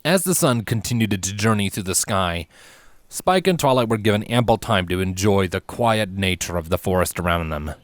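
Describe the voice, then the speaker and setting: A man narrating a scripted story as a reader of fan fiction, with expressive, theatrical emphasis. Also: sits low, moderate pace, dry recording.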